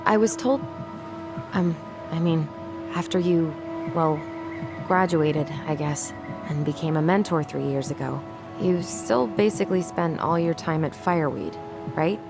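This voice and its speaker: A woman's voice: deep voice, unhurried, vocal fry. A woman playing a caring, wry young sister in naturalistic, conversational video game dialogue. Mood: hesitant. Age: young adult. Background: game music.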